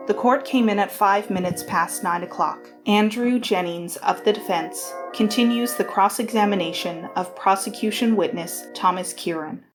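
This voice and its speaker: A woman narrates a courtroom audio drama in a formal, scripted way. Her voice is mid-pitched, and music plays behind it. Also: normal speed, few pauses.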